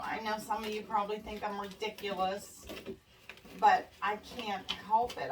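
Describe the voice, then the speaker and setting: Congested woman chatting slowly while crafting. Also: mid-range voice. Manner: chatty, worried, addresses viewers as guys. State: congested, stuffy nose. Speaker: craft vlogger. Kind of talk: casual chat while crafting. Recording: distant mic with faint background noise.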